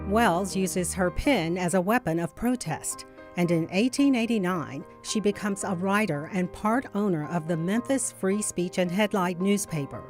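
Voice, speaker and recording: A woman reading scripted documentary narration in a measured, carefully enunciated way. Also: fairly low pitch. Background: music.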